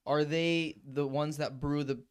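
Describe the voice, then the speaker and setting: A podcast host chatting and prompting the guests with quick questions. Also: mid-range voice, fairly even intonation, clear, resonant tone. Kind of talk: casual podcast interviewing.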